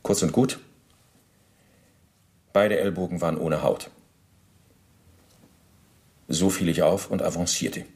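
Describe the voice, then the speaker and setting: An actor playing a former SS officer under interrogation in a radio drama, recounting in a precise, bureaucratic, self-justifying way. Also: male voice, low-pitched, steady tone, takes it slowly, long pauses, a little mellow. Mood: matter-of-fact, self-justifying.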